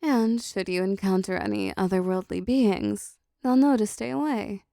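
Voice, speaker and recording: A woman voicing a possessive, seductive female demon in an intimate audio roleplay, softly teasing, dominant and doting. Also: mid-range voice, very animated, normal speed, vocal fry, no echo, clean studio sound.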